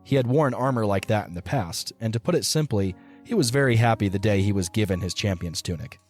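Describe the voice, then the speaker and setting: A man's voice: on the low side, lively intonation, fairly quick, darkish tone. A man reading scripted narration for an audio story. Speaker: narrator of a fan audio drama. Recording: music under the voice. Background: music.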